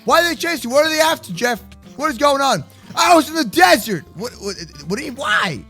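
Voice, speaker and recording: A man with a very high, bright and forceful voice does excitable gaming roleplay. He swings widely in pitch, repeats words and exclaims in slang, with music behind him. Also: brisk. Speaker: host of a gaming roleplay video. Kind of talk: excited improvised Minecraft roleplay chatter for kids.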